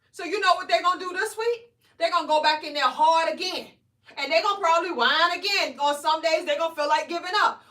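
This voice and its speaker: A woman, coaching weight loss on a live stream in an energetic, preachy way, often asking "right?". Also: high voice, echoey room, distant mic. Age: 40s. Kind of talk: live stream motivational talk.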